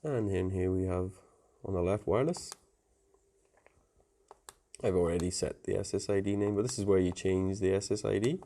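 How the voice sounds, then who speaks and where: A man's voice: low voice, normal speed, pauses often, warm tone. A man giving a casual technical tutorial in an informal, plain-spoken, conversational way. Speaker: technical presenter explaining network setup.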